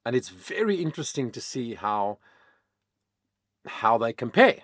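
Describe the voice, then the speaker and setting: A man whose first language is Afrikaans, a vocal coach explaining singing in a casual, chatty way with many pauses and fillers. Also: medium pitch, sing-song, fairly bright tone, dry recording, clean studio sound. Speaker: vocal coach, channel host. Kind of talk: casual reaction-video talk.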